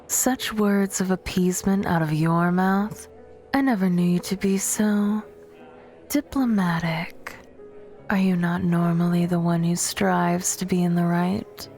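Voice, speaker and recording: A woman playing a proud, bitter vampire warrior in an ASMR audio roleplay, speaking in a breathy, often whispered voice with haughty, cutting formality. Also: lowish voice, fairly even intonation, unhurried, darkish tone, faint music behind. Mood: scornful.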